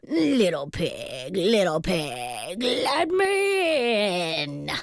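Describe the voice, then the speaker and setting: A woman's voice: fairly low pitch, very animated, drawn-out words, gravelly, no room sound, heavily acted. A woman voicing a hungry, bellowing big bad wolf from a storybook, drawing out menacing threats.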